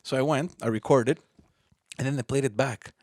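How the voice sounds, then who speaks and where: A young man with a Montreal English accent hosting a podcast, telling stories in a chatty, animated way and laughing often. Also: mid-range voice, moderate pace, dry recording, studio quality. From Canada. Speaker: podcast host. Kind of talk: casual interview chat.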